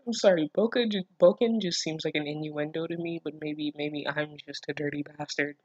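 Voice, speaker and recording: A woman's voice: sits low, steady tone, normal speed, no room sound, dull audio. A woman reading and commenting on fan fiction in a casual, comedic way, sweary, sardonic and exasperated, stumbling over her words. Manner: breaks into laughter and stammers.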